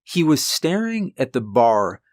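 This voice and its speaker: A man reads a first-person horror tale with a deep voice, speaking calmly and conversationally, with casual asides. His voice sits at an ordinary middle pitch. The sound is close and dry, with no room around it. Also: a little slow, dry recording. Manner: calm conversational storytelling with asides. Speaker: horror story narrator.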